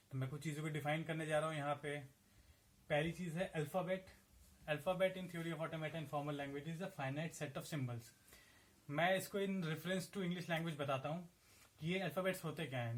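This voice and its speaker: Higher-voiced Indian man lecturing. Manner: explanatory, asks and answers his own questions. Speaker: assistant professor. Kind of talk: classroom lecture mixing Hindi and English.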